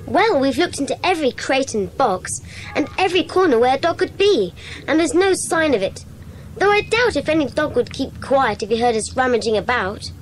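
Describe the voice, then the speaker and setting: Casting: loyal young British schoolboy, high animated voice, eager and exclamatory, dramatised children's audiobook. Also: female voice, normal speed, a little hiss or hum, rough recording. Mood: doubtful. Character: keen, loyal schoolboy in a secret society.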